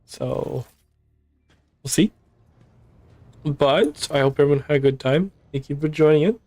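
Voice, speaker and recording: Hoarse, tired young male streamer. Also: on the high side, measured pace, dark tone, no room sound. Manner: rambling, thinking aloud. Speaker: game streamer. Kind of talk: casual live-stream commentary.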